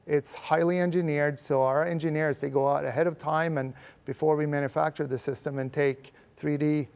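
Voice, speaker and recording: Gravelly middle-aged man from Sweden. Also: fairly high pitch, moderate pace. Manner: steady, plain explaining. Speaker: guest, company representative. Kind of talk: casual TV interview answers.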